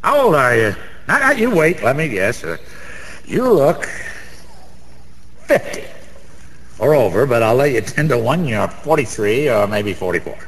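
A middle-aged Broadway gambler in a radio play, slow and gravelly, in a very reverberant space. He brags and wisecracks in boozy, rambling slang, like a chummy know-it-all. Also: mid-range voice, sing-song, fairly bright tone. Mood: cocky, chummy. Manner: slangy, chuckling, rambling, streetwise. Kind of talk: 1990s radio drama acting. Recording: reverberant hall, faint background noise.

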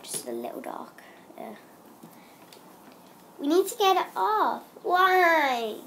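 A woman's voice: very high-pitched, huge pitch swings, takes it slowly, vocal fry, fairly bright tone, slight background noise. A young girl vlogging at home, chatting in a rambling way with lots of ums while she has a cold. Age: child. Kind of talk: casual chatty vlog.

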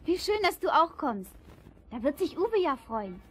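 An excited little birthday girl, bubbly and childlike, squeaks at the very top of her range. She comes from a 1970s children's radio play on a vinyl rip, with music playing underneath. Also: unhurried. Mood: chirpy. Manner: chirpy, childlike.

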